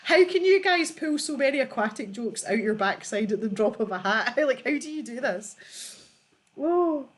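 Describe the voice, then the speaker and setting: A woman's voice: on the high side, animated, normal speed. A woman hosting a casual livestream, reading out viewer jokes and laughing often as she chats. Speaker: livestream host.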